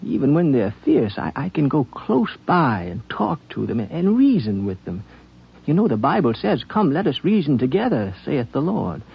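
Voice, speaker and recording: An actor playing a gentle, earnest frontier wanderer in a 1950s radio drama, musing and folksy, with halting phrasing. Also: male voice, mid-range voice, huge pitch swings, gravelly, breathy edge, full character voice. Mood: earnest, reflective. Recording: dull 1950s radio broadcast.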